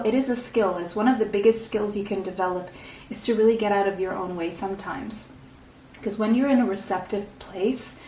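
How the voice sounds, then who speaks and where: Woman from portugal doing a tarot reading, soft and reassuring, keeps clearing her throat, a bit off-mic in an echoey room. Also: mid-range voice, distant mic, slight background noise. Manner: soft, reassuring, often ends points with "okay?". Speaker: tarot reader. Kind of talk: pick-a-card tarot reading.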